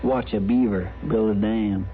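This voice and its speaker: A man's voice: fairly low pitch, fairly even intonation, a little slow, natural voice. A man playing a sheepish, folksy deputy in an old radio western, with a rural American accent and rambling, hesitant delivery. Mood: evasive, earnest. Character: sheepish, lovesick, folksy deputy of a frontier marshal. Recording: tinny, faintly noisy old radio broadcast.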